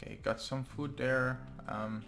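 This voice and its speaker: A young man with a Norwegian accent, English not his first language, casually talking through his gameplay and thinking aloud with frequent ums and pauses. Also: medium pitch, fairly even intonation, a bit bright, soft background music. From Norway. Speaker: gaming channel host. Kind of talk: let's play commentary.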